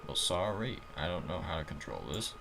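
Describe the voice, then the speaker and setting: A man's voice: sits low, sing-song, fairly quick, creaky, noisy background, full character voice. A man voicing a bewildered, anxious young man turned cat in a comic game, questioning and flustered. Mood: puzzled, flustered.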